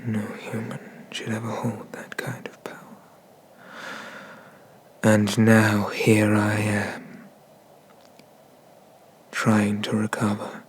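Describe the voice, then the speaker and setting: A man playing a haughty, vengeful dragon god in an ASMR roleplay, speaking with deliberate, disdainful menace and often slipping into whispers. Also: on the low side, monotone, slight background noise. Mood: brooding, menacing.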